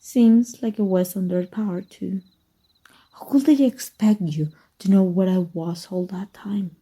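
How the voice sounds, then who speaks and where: A sly former magic teacher with dark powers, played by a woman from Mexico. Her voice is very dark and mellow, breathy at the edges, and she drifts along at an unhurried crawl. She half-whispers, low and teasing, close and intimate in the ASMR manner. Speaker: voice actor. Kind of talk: ASMR roleplay.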